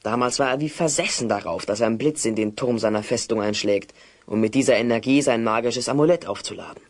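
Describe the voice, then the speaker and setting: A man's voice: medium pitch, fairly quick, close to an everyday voice. A man playing an earnest, long-winded apprentice wizard who speaks precisely in a German children's audio play. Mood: earnest, explaining. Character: earnest, bookish male apprentice wizard, slightly unsure.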